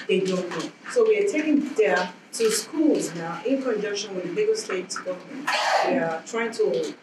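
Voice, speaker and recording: Woman from Nigeria, earnest, emphatic, far from mic, reverberant hall, background noise. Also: mid-range voice. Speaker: NGO founder speaking at a conference. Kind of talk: conference self-introduction. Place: conference hall. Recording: echoey hall, distant mic, noisy room.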